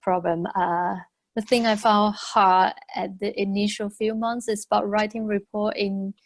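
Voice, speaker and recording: A woman whose first language is Mandarin, speaking on a conference panel in a halting way with restarts. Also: medium pitch, fairly even intonation. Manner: halting, restarts with light laughs.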